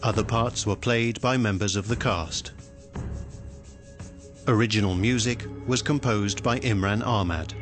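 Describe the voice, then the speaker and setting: A man reading scripted radio credits in a measured, formal way. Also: lowish voice. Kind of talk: scripted announcement. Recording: music under the announcement.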